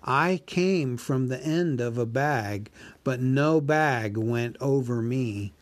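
Man playing a small clever hobbit, polite, riddling, storybook, slow, dry close sound. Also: mid-range voice, dry recording, lightly acted. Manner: polite, flattering, raised-voice riddling.

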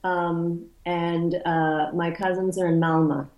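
A woman's voice: lowish voice, flat delivery, takes it slowly, a little mellow, reverberant, low-quality recording. A woman who grew up speaking Polish, talking as an interview guest in a loose, thoughtful way, often restarting her sentences. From Poland. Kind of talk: casual conversational interview.

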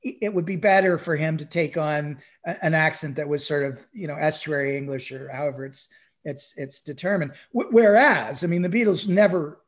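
Podcast discussion voice: mid-pitched, halting and conversational, repeats words, tags phrases with "right?". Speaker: podcast speaker. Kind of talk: casual discussion of music history.

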